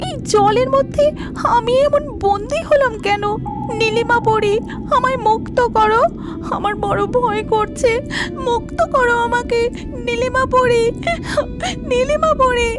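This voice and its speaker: Very high-voiced timid young fairy. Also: female voice, unhurried, over loud music. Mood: frightened, pleading. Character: young female wish-granting fairy disguised as mermaid, cautious, timid. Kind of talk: cartoon character acting.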